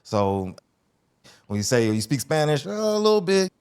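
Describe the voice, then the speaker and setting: A man's voice: a little high, big pitch swings, normal speed, darkish tone, clear, resonant tone. A man in his forties from Las Vegas, a podcast guest talking casually and slangily about his life. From North Las Vegas, USA. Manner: laid-back storytelling, slangy, restarts phrases. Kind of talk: casual interview conversation.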